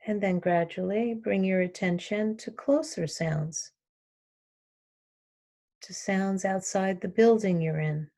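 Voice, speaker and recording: A woman leads a guided relaxation meditation as a yoga teacher. She speaks very slowly at a medium pitch. Her instructions come calmly in short phrases, with long pauses left between them.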